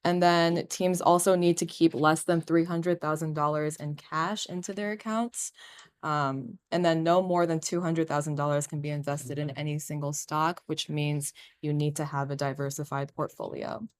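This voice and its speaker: A young woman teaching assistant talks a class through tips in a lecture room. Her voice is low for a woman and smooth and clear. She sounds chatty, with plenty of "um" and "like.". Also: fairly even intonation, moderate pace, no room sound, clean studio sound. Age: young adult. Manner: chatty, with fillers like "um" and "like". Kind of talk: classroom presentation.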